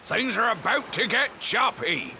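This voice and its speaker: A man playing a hearty, kindly old fisherman in a video game cutscene, with gravelly, folksy seafarer talk. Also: very high voice, expressive, unhurried, pushed and bright, slight background noise, heavily acted. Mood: gruff kindness. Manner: folksy seafarer talk, refers to himself by name. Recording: game music under the voice.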